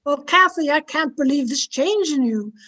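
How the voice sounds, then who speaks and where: Female actor as scolding, anxious household servant, blunt, high-pitched, old-time radio drama delivery. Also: expressive, moderate pace, pushed and bright. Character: Ellen, fretful, blunt household servant woman narrating.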